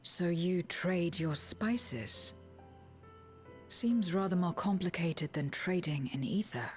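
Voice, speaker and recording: Slow, low, solemn otherworldly woman. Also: a little mellow. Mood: skeptical. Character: solemn, detached otherworldly woman, once mortal, blunt and wary. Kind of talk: scripted video game dialogue. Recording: music behind the voice.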